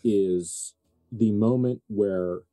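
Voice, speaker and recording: A man narrating as game master in an improvised tabletop game, phrasing things deliberately and thoughtfully with emphatic pauses. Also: lowish voice, dark tone, dry recording.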